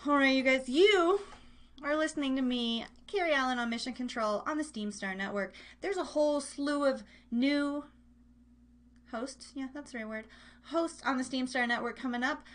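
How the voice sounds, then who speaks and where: A woman's voice: sits high, moderate pace. A woman hosting a live online radio show in casual chat, playful and rambling, often interrupting herself as she talks straight to listeners. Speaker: live radio and podcast host.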